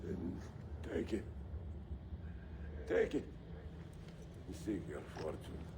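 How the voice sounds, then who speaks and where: Game villain: zealous Byzantine Templar commander, gravelly, slow, grandiose, defiant, noisy ambience behind. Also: male voice, sits low, big pitch swings, heavily acted. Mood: dying, defiant. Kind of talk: dramatic video game acting.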